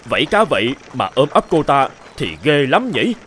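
Lively, mocking gossiping villager. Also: male voice, a little high, normal speed, a little hiss or hum. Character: gossiping, mocking male villagers in a folk tale. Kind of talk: Vietnamese cartoon voice acting.

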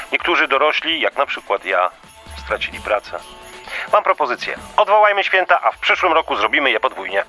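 An actor playing a self-centred, aggrieved talking reindeer in a Christmas radio play, whiny, defensive and theatrical. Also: male voice, medium pitch, pushed and bright, exaggerated voice. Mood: pompous, aggrieved.

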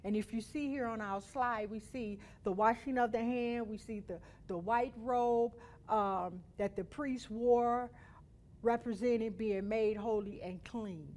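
High-voiced woman teaching a children's Bible lesson. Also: a little slow, darkish tone. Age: middle-aged. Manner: instructive, emphatic, with check-in tags like "right?" and "okay?". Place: indoor studio or church room.

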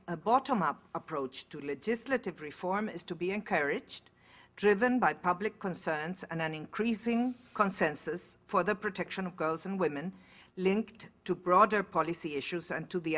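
Low-voiced woman giving a keynote. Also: a bit bright. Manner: measured, with tag questions and self-corrections. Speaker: keynote speaker. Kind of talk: formal conference speech.

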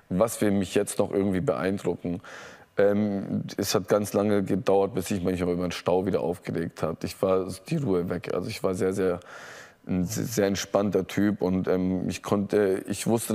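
A man, a talk show guest telling his story calmly on a lapel mic in a TV studio, halting with many fillers and restarts. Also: on the low side. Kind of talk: candid personal account in a talk show. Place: TV studio set with audience.

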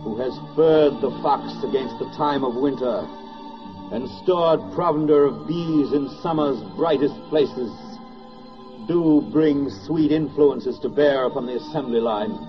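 An actor playing a solemn narrator at prayer in a 1940s radio drama, declaiming in reverent, measured phrases. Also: male voice, medium pitch, over music, lightly acted. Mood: reverent, stately. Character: solemn male narrator praying over a war's end. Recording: muffled vintage 1940s radio recording. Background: orchestral score.

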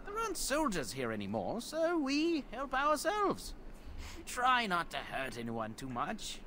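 A high-pitched, shifty bandit. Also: male voice, huge pitch swings, measured pace, sharp tone, a little hiss or hum, full character voice. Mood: wary, evasive. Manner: shifty, defensive, self-excusing. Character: cornered male bandit, evasive and pleading, then defiant. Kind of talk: fantasy video game character acting.

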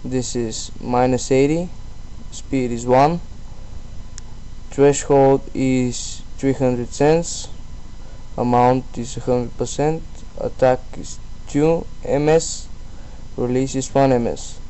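Man, slow halting delivery, dark muted tone, casual tutorial voice-over, background noise. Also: medium pitch, fairly even intonation, poor audio. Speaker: tutorial presenter. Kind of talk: casual screen-recorded software tutorial.

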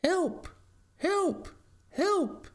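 A man voicing a flustered, guilty farm dog in a storybook, with a breathless yelping falsetto and rustic grammar. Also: very animated, drawn-out words, full character voice. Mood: frantic. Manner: flustered, rustic ungrammatical speech. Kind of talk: storybook character voice.

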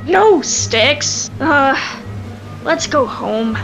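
A woman voices a gentle young male fox sidekick for a cartoon comic dub. The voice is high, sensible and patient, with music clearly behind it. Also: expressive, extremely slow, heavily acted. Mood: matter-of-fact. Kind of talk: fan comic dub acting.

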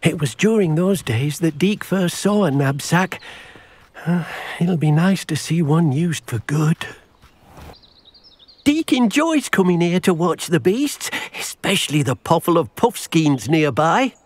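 An actor playing a gentle, earnest, small elderly house-elf servant in a video game, who speaks of himself in the third person. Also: male voice, fairly high pitch, big pitch swings, measured pace. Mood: helpful. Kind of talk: scripted video game character acting.

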